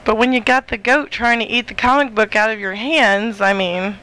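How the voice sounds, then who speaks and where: A woman's voice: fairly high pitch, steady tone, moderate pace, bright, forceful tone, rough recording. A woman chatting casually in an informal, joking way, chiming in on a conversation. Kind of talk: casual chat.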